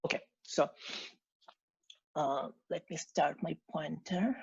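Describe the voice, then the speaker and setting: A young man with a Bangladeshi accent, a native Bangla speaker, giving an academic presentation in a hesitant, halting way as a PhD candidate. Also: on the high side, gravelly, a little mellow, husky, no room sound. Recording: muffled video-call audio.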